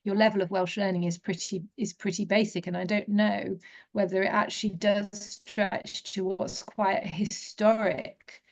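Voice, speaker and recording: Gravelly middle-aged woman, hesitant. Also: mid-range voice, little pitch movement, moderate pace. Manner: hesitant, thinking aloud with many fillers. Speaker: discussion group participant. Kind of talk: casual group discussion. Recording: dull lo-fi sound.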